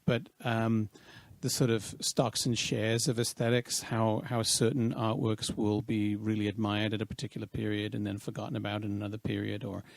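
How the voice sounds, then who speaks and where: Creaky-voiced Welsh-born man, hesitant. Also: fairly low pitch, little pitch movement, normal speed, few pauses, no echo. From Wales. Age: 50s. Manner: thoughtful, hesitant, many ums and restarts. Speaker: guest. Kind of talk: casual interview.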